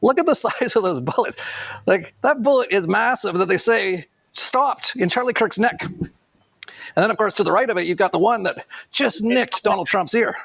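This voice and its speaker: A man with a noticeably high voice talks in a loose, casual commentary style. His delivery is gravelly with vocal fry and rambles along sardonically. The sound is very dry. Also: animated, normal speed, fairly bright tone, dry recording. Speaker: online commentator.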